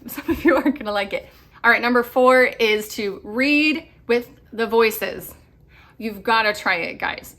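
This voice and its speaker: A woman's voice: fairly high pitch, pushed and bright. A woman in her thirties giving upbeat, chatty homeschool advice to camera, often laughing. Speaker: homeschool vlogger. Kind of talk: casual advice talk to camera.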